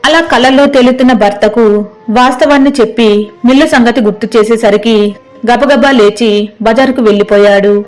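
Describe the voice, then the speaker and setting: A woman's voice: on the high side, fairly even intonation, a little fast, a little mellow, soft background music, low-quality recording. A woman narrating a scripted moral story as a storyteller.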